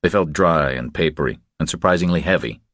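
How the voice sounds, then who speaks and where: A man narrating a crime thriller audiobook in a measured, terse storytelling manner. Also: a bass voice, a bit bright, dry recording, studio quality.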